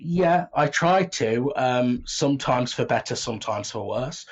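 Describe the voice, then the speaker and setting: A man's voice: medium pitch, expressive, measured pace, breathy edge, muffled recording. A man talking casually in an interview as a writer explaining his work in a conversational way. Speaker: audio drama writer and creator.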